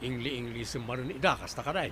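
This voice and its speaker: A man's voice: mid-range voice, quick pace, background noise, natural voice. A man playing a teasing, reasonable husband who chides his wife in an Ilocano radio comedy drama, over car sound effects.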